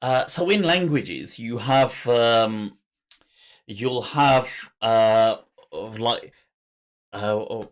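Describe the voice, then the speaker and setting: A man hosting a podcast interview, speaking in a thoughtful, halting way as he searches for words. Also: mid-range voice. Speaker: podcast host. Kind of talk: conversational interview.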